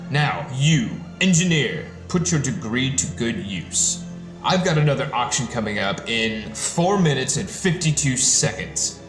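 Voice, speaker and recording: A man acts an arrogant, impatient rich bully for an audio drama. He taunts and orders in a mid-pitched, highly animated voice, taking his time. The room rings with echo. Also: a little slow, natural voice. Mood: taunting, impatient. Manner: bossy, taunting. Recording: echoey room, music behind.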